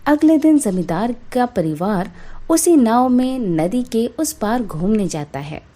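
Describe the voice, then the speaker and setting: A woman's voice: a little high, animated, a little slow, mellow. A woman narrating a scripted moral tale as a storyteller for children.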